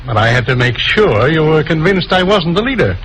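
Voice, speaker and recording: A man's voice: a little high, big pitch swings, brisk, a bit bright. An actor playing a smug, patronizing male spy boss in a mid-century radio crime drama. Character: smug male villain, patronizing leader of secret spy ring. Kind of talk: 1940s-50s radio crime drama acting. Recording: noisy old radio recording.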